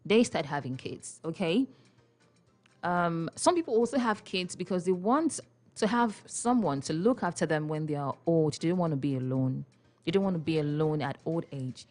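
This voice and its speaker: A woman's voice: low-pitched, animated, moderate pace, darkish tone. A young Nigerian woman hosting a radio talk show, playful and chatty, with frequent laughs and questions for her listeners in a studio. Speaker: radio host. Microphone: studio microphone.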